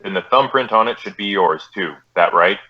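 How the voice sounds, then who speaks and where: A man playing a polite, by-the-book police detective with a deadpan, clipped delivery in a radio drama. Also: sits low, moderate pace, dull audio. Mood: stoic, firm. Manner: deadpan, clipped, matter-of-fact.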